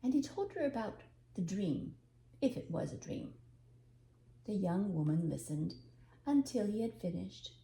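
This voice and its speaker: An older woman reading a storybook aloud as an expressive narrator. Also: medium pitch, unhurried, vocal fry. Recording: echoey room, faint background noise.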